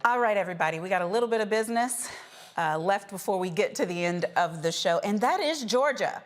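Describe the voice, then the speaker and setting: A woman with an American accent presenting a news talk show as host. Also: medium pitch.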